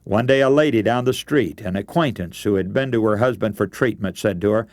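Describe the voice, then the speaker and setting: A man's voice: on the low side, brisk, darkish tone, dry recording, clean studio sound. A man preaching a radio sermon, giving practical advice in an earnest, instructive way. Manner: earnest, instructive, addresses listeners as friends.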